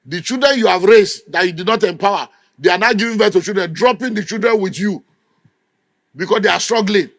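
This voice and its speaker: A man's voice: high-pitched, fairly quick, no echo. A middle-aged Nigerian man preaching a sermon with an emphatic, scolding delivery. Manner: emphatic, scolding, mimics people in quotes. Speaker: pastor.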